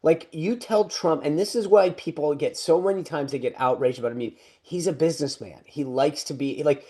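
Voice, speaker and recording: A middle-aged man co-hosting a casual political chat, talking loosely with many restarts and quick laughs. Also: a little high, warm tone. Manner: chatty, full of restarts and laughs. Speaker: podcast co-host.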